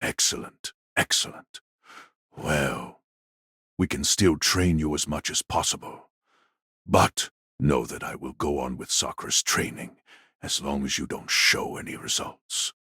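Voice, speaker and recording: A man voicing a wicked, cold old sorcerer patriarch in an audiobook drama, speaking in a slow, mocking, condescending way. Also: a bass voice, bright, forceful tone, breathy edge, no echo, clean studio sound, full character voice. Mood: condescending, calculating.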